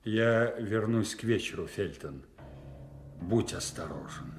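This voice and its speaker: An actor playing a stern, paternal English lord in a radio drama, speaking in a declamatory, commanding manner. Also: male voice, fairly low pitch, lively intonation, slow pace, vocal fry, music in the background, exaggerated voice. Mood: grave warning. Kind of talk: classic radio drama acting.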